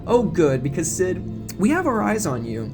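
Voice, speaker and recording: A man's voice: sits high, big pitch swings, normal speed, music in the background, pitched above the performer's own voice. A man voicing a young sorority woman in an audio drama, sugary, condescending and falsely sympathetic. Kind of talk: audio drama character acting.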